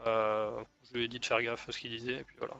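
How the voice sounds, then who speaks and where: An easygoing street gang leader , played by a man talks in an online game roleplay. He is respectful and conciliatory, with a hesitant, casual delivery at a middle pitch. The sound is dull, like lo-fi voice chat. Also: little pitch movement, fast, gravelly, sharp tone. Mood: agreeable.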